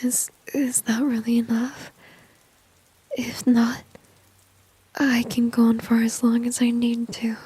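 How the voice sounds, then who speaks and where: A woman's voice: fairly high pitch, flat delivery, takes it slowly, pauses often, dark tone, breathy edge, full character voice. A woman playing a flirty, dominant, confident villain in an ASMR roleplay, whispering breathily and teasingly close to the microphone. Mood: coaxing, confident. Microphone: close microphone, dry.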